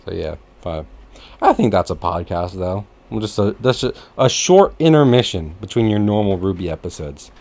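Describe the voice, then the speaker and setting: A man hosting a casual podcast chat, rambling and jokey, with easy swearing and an animated delivery. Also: sits low, normal speed, vocal fry. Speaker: podcast host.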